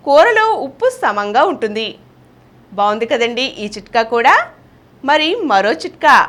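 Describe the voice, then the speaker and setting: A woman's voice: high-pitched, a bit bright. A woman presenting household and beauty tips in scripted narration, speaking to viewers in a friendly way with rhetorical questions.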